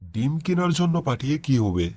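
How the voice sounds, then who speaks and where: A man's voice: medium pitch, sing-song, a little fast, warm tone. A man voicing a worried, mild-mannered village father in a moral cartoon, earnest and plain, with a Bangladeshi accent. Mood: worried, respectful. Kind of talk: cartoon moral story dialogue.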